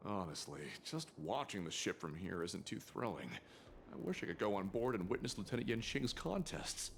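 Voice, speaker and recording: A man's voice: lowish voice, big pitch swings, moderate pace, creaky, slight background noise. A man voicing a flustered, eager guard in a video game, hesitant and deferential toward superiors.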